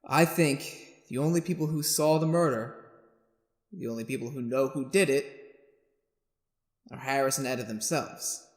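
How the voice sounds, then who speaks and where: A man's voice: medium pitch, moderate pace, pauses often, natural voice. A man acting a world-weary, blunt defense lawyer in a retro radio drama, folksy and exasperated. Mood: subdued, evasive.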